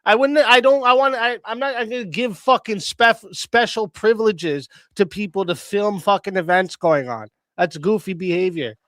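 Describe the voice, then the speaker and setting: A man's voice: high-pitched, normal speed, few pauses, fairly bright tone, dry recording, studio quality. A man hosting a live debate stream, talking bluntly with lots of swearing and restarts. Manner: profane, blunt, repeats himself. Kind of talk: heated casual debate.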